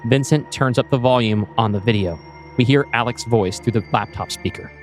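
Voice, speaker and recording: A man's voice: mid-range voice, moderate pace, faint music behind. A man narrating a scripted screenplay in the present tense with a dramatic storytelling manner.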